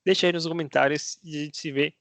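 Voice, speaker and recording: A Brazilian-accented man with a somewhat high, gravelly voice talks casually to his viewers as a language teacher. He explains in a chatty way, posing rhetorical questions and checking in with the listener. The sound is close and dry, with no room around it. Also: fairly even intonation, quick pace, a bit bright, dry recording. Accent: Brazilian Portuguese. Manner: conversational, asks himself rhetorical questions, tags lines with "né?". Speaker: Spanish teacher.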